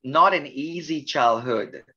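A man in his fifties from Malaysia with a Malaysian accent, a pastor telling his life story gently in a podcast interview. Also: medium pitch, lively intonation, takes it slowly, breathy edge, highs cut off.

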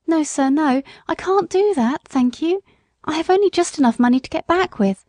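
A woman's voice: sits high, lively intonation, moderate pace, darkish tone, no echo, studio quality, pitched above the performer's own voice. A woman voicing a poor, anxious young country mother in a storybook, humble, polite and pleading. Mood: earnest, pleading.

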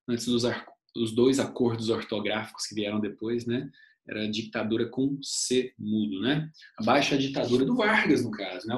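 A man in his thirties teaching history over slides in a casual online lecture, constantly tagging sentences with a questioning "né?". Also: echoey room. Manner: chatty, uses "né?" as a constant tag, animated pitch swings.

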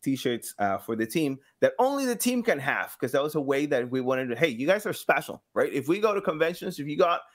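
A man's voice: on the high side, fairly quick, few pauses. A man in his thirties chatting casually and expressively as an interview guest, often acting out little imagined exchanges. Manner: expressive, acts out imagined quotes. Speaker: podcast guest, engagement director and sound designer. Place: home room, indoors.

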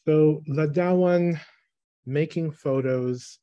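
A man in his thirties, from the Philippines, giving a thoughtful online talk about his photography on a video call, with pauses and restarts. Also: on the high side, warm tone, no echo, muffled recording. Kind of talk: online presentation. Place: small room, indoors. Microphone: webcam on a video call.

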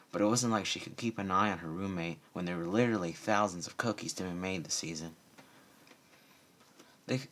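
A man's voice: low voice, a bit bright. A man narrating a story aloud as a reader of fan fiction.

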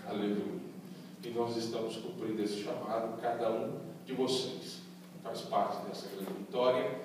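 A man's voice: on the low side, fairly even intonation, unhurried, noisy background. A man speaking as a pastor, fervently giving church announcements and calling for amens. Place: large church hall, indoors. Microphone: handheld mic, recorded from far in the pews. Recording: echoey hall from far off.

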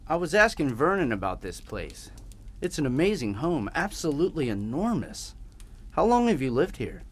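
An actor playing a courteous, slightly awkward young newlywed husband in an audio drama. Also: male voice, a little high, big pitch swings, normal speed. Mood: polite. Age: young adult. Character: polite, curious young newlywed husband, a traveler.

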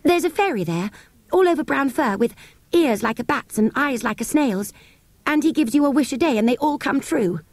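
Woman as a high-voiced brave little girl. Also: animated, moderate pace, no echo. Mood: earnest. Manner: high, earnest, defiant.

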